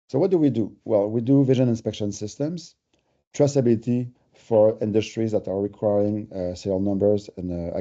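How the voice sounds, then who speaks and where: Man, French Canadian accent, native French speaker, lowish voice, explaining things casually on a webinar, muffled lo-fi sound. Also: mellow. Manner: conversational, explanatory, uses everyday examples. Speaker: company presenter. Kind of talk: webinar presentation.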